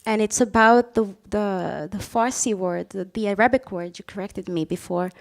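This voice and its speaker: A woman's voice: mid-range voice, moderate pace, creaky. A woman moderating a live panel, asking questions and restating the answers to check that she understood them. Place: stage talk before an audience, no microphones.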